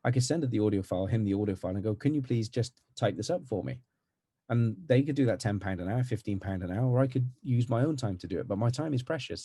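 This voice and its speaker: A middle-aged man with a West Country accent giving a fast, energetic, chatty marketing tutorial over a video call. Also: lowish voice, breathy edge, no room sound, clean studio sound. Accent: West Country English. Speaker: marketing agency owner. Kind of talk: casual tutorial talk to camera. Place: home with green screen background.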